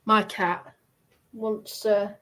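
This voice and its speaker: A woman chats casually at a slow pace. Her delivery is halting and hesitant, with a mid-range pitch. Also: level tone, fairly bright tone. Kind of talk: casual chat.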